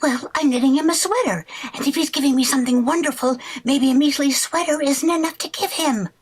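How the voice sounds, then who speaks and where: A woman's voice: high voice, a little fast, close to an everyday voice. A woman playing a playful, scheming, wheedling housewife in a 1940s-style radio comedy, coaxing and theatrical. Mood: eager, curious.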